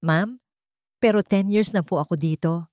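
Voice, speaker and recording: A woman's voice: on the low side, normal speed, darkish tone, no echo, clean studio sound, close to an everyday voice. A woman voicing a frightened office employee who pleads politely and apologetically. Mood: pleading.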